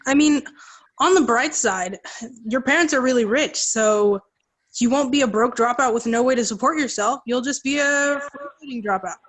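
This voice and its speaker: Highish voice young woman as sarcastic teen girl, blunt, dry delivery, stage play. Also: normal speed, a bit bright, poor audio. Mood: bluntly teasing. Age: young adult. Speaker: student actor in a school play. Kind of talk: one-act play acting.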